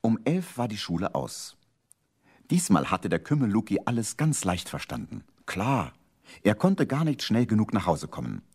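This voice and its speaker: A man narrates a children's story in a lowish, husky voice. His intonation moves up and down in a lively way, as a radio play storyteller. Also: moderate pace.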